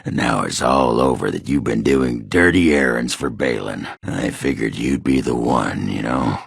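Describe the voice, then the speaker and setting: A surly, hard-drinking male dwarf warrior from a fantasy video game. His voice is extremely low and thick with gravel, recorded close and dry. He grumbles bluntly, with coarse, sardonic bite. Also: normal speed, dry recording, full character voice. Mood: scornful. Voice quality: very deep, gravelly, rough. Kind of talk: video game character dialogue.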